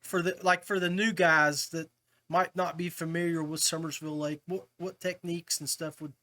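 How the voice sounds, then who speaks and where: A man's voice: a little high, level tone, moderate pace, bright, forceful tone. An American man hosting a casual fishing podcast, chatting with guests in a friendly, chummy and appreciative way. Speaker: podcast host.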